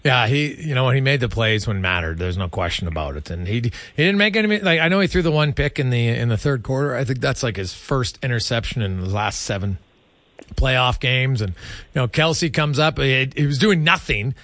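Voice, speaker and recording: A man hosting sports radio, chatting casually in a halting, conversational way. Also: medium pitch, animated, fairly bright tone, no echo.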